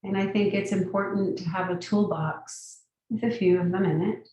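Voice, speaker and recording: A woman guiding a meditation as a teacher, giving gentle, unhurried instructions with long pauses. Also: medium pitch, steady tone. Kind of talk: guided meditation. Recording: very echoey room, distant mic.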